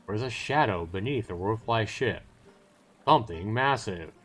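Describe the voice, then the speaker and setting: A man's voice: fairly low pitch, lively intonation, normal speed. A man voicing a salesman-like seafaring trader who tells sea yarns in a theatrical, cajoling way over music. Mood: boastful, suspenseful. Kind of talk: dramatic storytelling.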